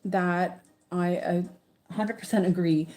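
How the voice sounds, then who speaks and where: A woman's low, mellow voice drifts through an easygoing chat as she stitches. She talks slowly and wanders off mid-thought, often restarting her sentences. It sounds like a relaxed, homespun craft video. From English-speaking country, living in Sweden. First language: English. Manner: rambling, chatty, restarts often. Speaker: stitching channel host. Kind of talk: casual chat while stitching.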